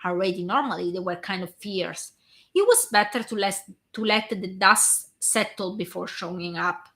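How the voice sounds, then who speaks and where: A hoarse woman reading a long story aloud in a steady way, sometimes stumbling and restarting a word. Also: medium pitch, normal speed. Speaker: fan fiction reader.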